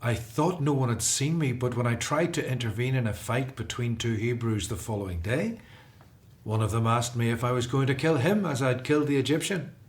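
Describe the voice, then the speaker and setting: A man's voice: medium pitch, a little fast, lightly acted. Guy playing a runaway prince in a bible radio play, earnest and determined, mid-range voice. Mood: anxious, confiding. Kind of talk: radio drama acting.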